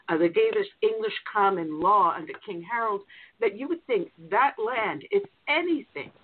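A woman hosting a conservative talk radio show, talking forthrightly and emphatically with her guests. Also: medium pitch. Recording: narrow phone-like line with faint noise.

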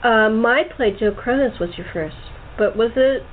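A woman's voice: fairly high pitch, normal speed. A woman hosting a casual cast interview with a radio drama group over a remote call. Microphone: phone or voice call. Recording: tinny, noisy phone-line call.

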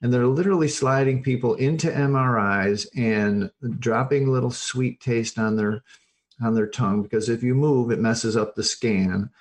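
A mature man speaks as an interview guest in a medium-pitched voice. He explains things informally and with emphasis, halting and repeating words as he goes. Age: middle-aged or older.

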